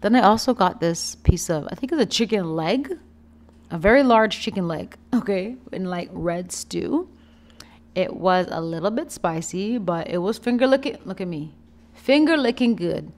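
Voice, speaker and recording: A young woman, giving a chatty, playful and expressive casual vlog voice-over. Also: mid-range voice, normal speed. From Canada. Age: young adult. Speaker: vlogger, food reviewer. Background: background music.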